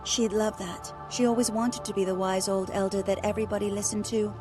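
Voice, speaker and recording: A woman's voice: mid-range voice, fairly even intonation, fairly quick, close to an everyday voice. An actress playing an earnest, emotional young plant-folk soldier woman in a video game. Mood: grieving. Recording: music behind.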